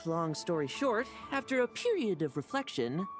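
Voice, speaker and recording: Battle-hungry young game heroine, very animated, self-assured, skeptical, stubborn, mid pitch, light music behind. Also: female voice, moderate pace. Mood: self-assured, annoyed. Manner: dramatic, self-assured storytelling. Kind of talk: video game voice acting.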